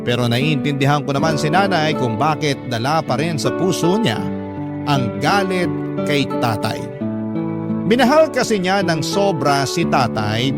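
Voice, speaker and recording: A man with a Filipino accent reading a listener's letter as an emotive, confiding radio drama narrator. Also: on the high side. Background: guitar music.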